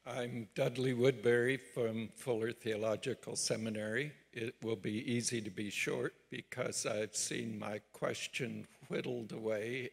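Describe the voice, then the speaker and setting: Male audience questioner, very creaky husky voice, halting delivery, big hall echo. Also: mid-range voice. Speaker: seminary academic, audience questioner. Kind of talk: live question from the floor. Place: large conference hall. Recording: long hall reverb.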